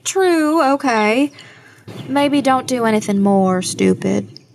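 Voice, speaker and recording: A woman playing a level-headed, guarded young adult daughter in an audio drama, measured and dry in manner. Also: on the high side, lively intonation, creaky, slight background noise, full character voice. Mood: dry, firm.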